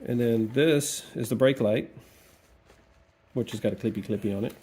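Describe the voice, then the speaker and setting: A man's voice: medium pitch, moderate pace, mellow. A man with a mid-range voice hosting a hands-on repair walkthrough, chatty and thinking aloud as he works. He slips into playful repetition of words now and then. A faint background noise hangs behind him in the workshop. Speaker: DIY video host. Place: workshop or garage, cold day.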